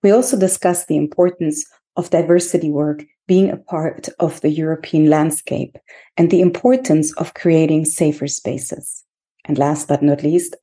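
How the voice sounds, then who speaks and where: A woman's voice: low-pitched, warm tone. A woman hosting a podcast, introducing the episode and asking her guest questions in an encouraging way. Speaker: podcast host. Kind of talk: conversational interview.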